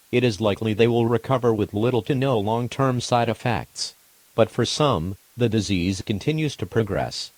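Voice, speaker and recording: A man delivering a scripted emergency alert as an announcer, in a formal, measured and impersonal way. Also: fairly low pitch, a little mellow, dry recording.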